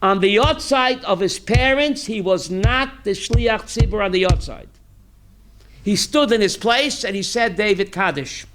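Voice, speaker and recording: High, bright-voiced rabbi quizzing his class. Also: measured pace, slight background noise. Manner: reads Hebrew text then translates, quizzing listeners; animated, emphatic rhetorical questions. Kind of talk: Torah class sermon.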